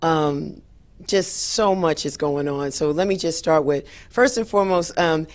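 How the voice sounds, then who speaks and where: A hoarse woman, hosting a talk show in an upbeat, chatty way with many fillers. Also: deep voice, measured pace. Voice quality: raspy. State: hoarse after a late night. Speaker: radio show host. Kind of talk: solo talk show monologue.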